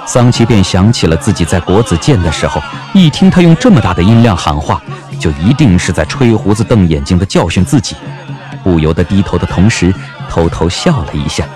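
Low-voiced man narrating with sweeping inflection. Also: very animated. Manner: literary, measured dramatic phrasing. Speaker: audiobook narrator. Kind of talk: scripted novel narration, dramatic storytelling. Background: background music.

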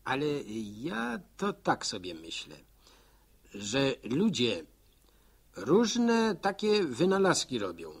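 An actor playing a folksy village postman who narrates in a slow, musing, homespun way in an old radio drama. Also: medium pitch, wildly swooping pitch, breathy edge, full character voice. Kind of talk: rural storyteller monologue in a radio drama.

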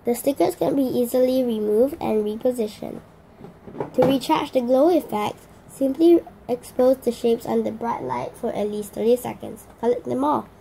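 A teenage girl with a hoarse voice, chatting casually through an unboxing vlog with lots of fillers and restarts. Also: a little high, normal speed, dark tone, a little hiss or hum, rough recording. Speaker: vlogger.

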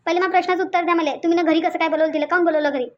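A woman's voice: extremely high pitch, steady tone, rapid-fire, highs cut off. A woman voicing a jealous, insecure young wife in a Marathi cartoon, speaking Vidarbha dialect and insistently repeating her demands. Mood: indignant, jealous. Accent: Vidarbha (Varhadi) Marathi. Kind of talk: Marathi cartoon story dialogue.

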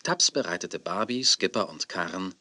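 An actor narrating a children's audio drama as a storyteller, in scripted style. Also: male voice, low voice, lively intonation, moderate pace, pushed and bright, dry recording, soft background music, exaggerated voice. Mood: storytelling. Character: storyteller of a gentle children's tale.

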